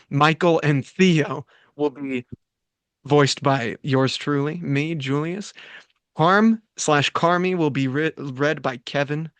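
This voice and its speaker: A man's voice: fairly high pitch, fairly bright tone, no echo. Joking man hosting, dry close sound. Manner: playful, crude joking. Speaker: host, writer and stage-direction reader of a table read. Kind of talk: casual, joking group chat and script narration.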